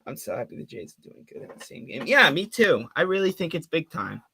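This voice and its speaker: A man of twenty giving fan play-by-play on a live stream, calling the action quickly and chatting with viewers between plays. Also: fairly high pitch, big pitch swings, creaky, bright, forceful tone, breathy edge. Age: 20s. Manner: rapid call of the action mixed with chatty asides to viewers.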